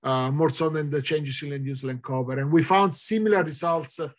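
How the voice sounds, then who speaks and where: Man, Italian accent, middle-aged, slightly high pitch, hesitant with fillers, video call. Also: a little fast. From Italy. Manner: hesitant, many "uh" fillers, thinking aloud. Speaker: researcher answering audience questions. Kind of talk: Q&A in an online talk. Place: indoors, virtual background.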